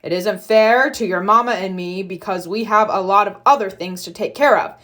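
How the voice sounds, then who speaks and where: A woman's voice: mid-range voice, normal speed, fairly bright tone, lightly acted. A woman voicing a practical, authoritative father bear who reasons firmly in a storybook. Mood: stern. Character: firm, practical father bear taking charge.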